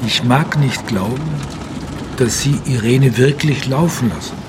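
Radio crime drama: male actor as plaintive, worn-out man, medium pitch, loud vehicle noise around him. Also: a little slow, lightly acted. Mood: sceptical. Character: weary, plaintive, troubled man under police escort.

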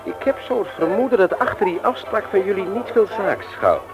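An actor playing a firm, insistent older brother in a Dutch radio drama, probing with authority. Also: male voice, high voice, expressive, brisk, music in the background, poor audio. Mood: suspicious, challenging. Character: firm, persistent older brother investigating a planned burglary.

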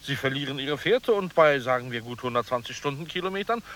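A man's voice: medium pitch, lively intonation, quick pace, fairly bright tone, natural voice. An actor playing a fussy, officious male expert in a radio play, eager and pompous as he lectures. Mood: warning.

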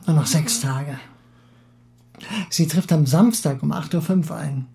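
A man playing a talkative, excitable Russian émigré husband who philosophizes eagerly and halts for words in acted audiobook dialogue. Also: a little high, vocal fry. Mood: eager, chatty. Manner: garrulous, halting when searching for words.

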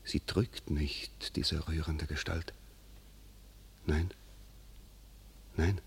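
A man's voice: very deep voice, fairly even intonation, very slow, creaky, a little hiss or hum, heavily acted. A man playing a proud noble duke, declaiming verse with furious force in a classic radio play. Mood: awed. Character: proud noble male duke, wrathful warrior.

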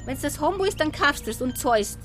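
An actress playing a quick-witted, middle-aged Bavarian shopkeeper with a dry, sharp tongue in a radio drama. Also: female voice, high voice, expressive, faint music behind. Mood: brisk defensive. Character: quick-witted, thick-skinned female small-town shopkeeper. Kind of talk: radio drama acting in dialect.

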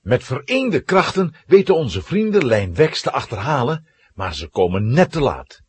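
A man narrating a children's radio play with dramatic, suspenseful, animated storytelling. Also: medium pitch, moderate pace, slightly rough, dry recording.

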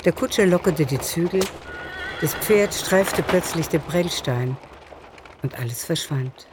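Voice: mid-range voice, lively intonation, a little slow, noisy background. A narrator reading scripted literary prose in a radio drama.

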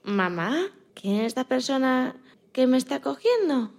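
A woman's voice: high voice, very animated, a little slow, darkish tone, a little hiss or hum, exaggerated voice. A woman playing a frightened, innocent little female bunny in a children's radio play, with a childlike, naive way of telling her story. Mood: worried, innocent.

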